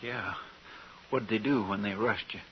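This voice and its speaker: An actor playing an earnest, naive deputy sidekick with a rural American drawl, chatty and deferential, in old radio western style. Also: male voice, lowish voice, measured pace, muffled recording, lightly acted. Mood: concerned. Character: earnest, simple, folksy deputy sidekick Chester. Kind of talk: 1950s radio western acting. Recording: noisy vintage radio recording.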